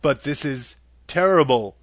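A man voicing a stern, earnest tin man in a storybook, speaking in a deliberate, measured way. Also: medium pitch, animated, no echo, natural voice. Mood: dismayed.

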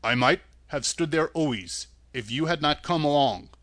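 A man reading a storybook aloud, voicing a sad, polite man made of tin who speaks slowly and mournfully. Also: expressive, fairly bright tone, no room sound. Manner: slow, low and mournful.

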